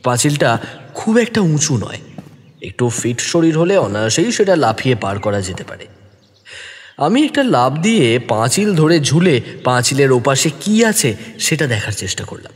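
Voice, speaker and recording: A man narrating a detective story in the first person, expressive and deliberate, also speaking the detective's lines. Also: mid-range voice, in a large hall. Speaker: narrator who also voices the young detective.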